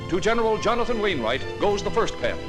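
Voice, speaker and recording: High-pitched 1940s newsreel announcer over music. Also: male voice, very animated, fast talker. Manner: crisp, declamatory announcer delivery. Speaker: newsreel narrator. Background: orchestral music.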